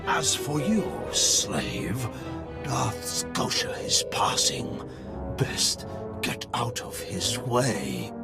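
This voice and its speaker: An actor playing a menacing half-machine dark lord in a video game, slow, contemptuous and threatening, with loud music over the voice. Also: male voice, medium pitch, wildly swooping pitch, gravelly, heavily acted. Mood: cold menace. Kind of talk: dramatic video game acting.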